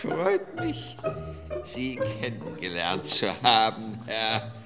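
An actor playing a pompous, suspicious old-guard Prussian officer and aristocrat in a German radio play, barking and condescending with stretched words. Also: male voice, fairly low pitch, huge pitch swings, takes it slowly, heavily acted. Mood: haughty. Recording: long hall reverb, music underneath. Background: music.

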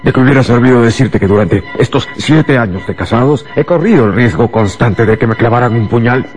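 An actor playing an agitated, self-justifying husband in a radio drama, insistent and theatrical, coaxing and bitter by turns. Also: male voice, medium pitch, a little fast, soft background music, close to an everyday voice.

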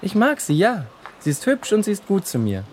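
Male actor as curious little brother kid in radio drama, high voice, very up n down, faint noise. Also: huge pitch swings, fairly quick. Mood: wistful, curious. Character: curious, affectionate little brother, a young boy.

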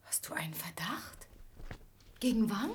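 An actress playing an anxious, gentle young woman in a radio drama, speaking in a hushed, intimate way. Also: mid-range voice, lively intonation, unhurried, slight background noise, lightly acted. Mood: hushed, uneasy.

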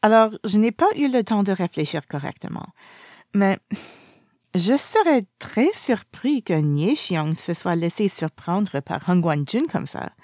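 A woman reading the part of a playful, nosy, dramatically indignant young man in a story, animated and mischievous. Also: medium pitch, measured pace, no echo, clean studio sound. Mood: teasing.

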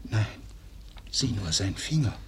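A man's voice: fairly low pitch, big pitch swings, unhurried, a little hiss or hum. A man in a radio play acting a grave, concerned married man.

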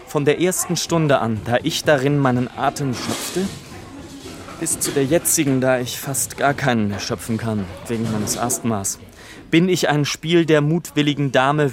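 An actor playing a wry gentleman author who narrates his own life in a mock-solemn, rhetorical manner for a literary radio play. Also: medium pitch, close to an everyday voice.

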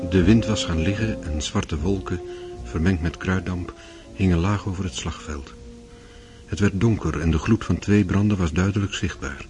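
An actor narrating a war story in a radio drama as a grave storyteller. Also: male voice, low-pitched, moderate pace, over music, close to an everyday voice. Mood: sombre.

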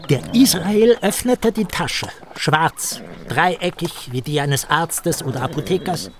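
An actor playing a gossiping storyteller who recounts a lurid legend with theatrical, insinuating relish in a radio play. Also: male voice, a little high, lively intonation, takes it slowly, a little hiss or hum. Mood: conspiratorial narrating.

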